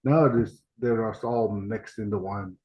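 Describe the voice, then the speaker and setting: A man's voice: fairly low pitch, steady tone, measured pace. A middle-aged man co-hosting a casual podcast with his daughter, cracking dad jokes and laughing often. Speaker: podcast co-host, trainer. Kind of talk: casual chat. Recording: dull lo-fi online audio.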